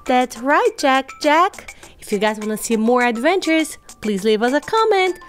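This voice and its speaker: A woman's voice: high-pitched, expressive, moderate pace, faint music behind. A woman playing a playful cartoon mommy coaxing her toddler in singsong baby talk for children's toy role-play. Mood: cheerful.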